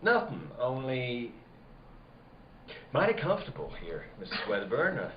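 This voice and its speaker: An actor playing a folksy, slyly probing farmer in a spooky radio drama. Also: male voice, mid-range voice, huge pitch swings, moderate pace. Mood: sly, insinuating. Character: evasive, insinuating rustic farmer neighbour. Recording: muffled, echoey room with background noise.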